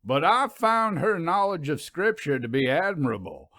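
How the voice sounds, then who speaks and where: A man voicing a commanding, folksy Southern minister in a storybook reading, with oratorical, drawn-out phrasing and a Southern US accent. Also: on the high side, very animated, fairly bright tone, dry recording, pitched above the performer's own voice. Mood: proud, theatrical. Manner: oratorical, drawn-out emphatic delivery. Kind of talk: audiobook character reading.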